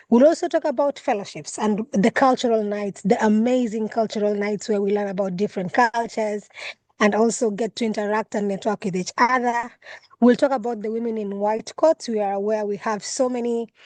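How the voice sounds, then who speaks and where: A woman's voice: on the high side, a little mellow, dry recording. Kenyan woman hosting a video call. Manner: halting, many fillers and restarts. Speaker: regional coordinator, call host. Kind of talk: online meeting hosting.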